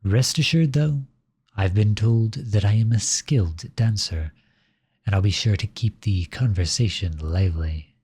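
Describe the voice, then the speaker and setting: A man playing a flirtatious, silver-tongued royal at a masquerade ball in an ASMR roleplay, speaking in courtly, formal, flattering phrases with a husky voice. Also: on the low side, a little slow, no echo. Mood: earnest, coaxing. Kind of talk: ASMR roleplay, scripted romantic monologue addressed to listener.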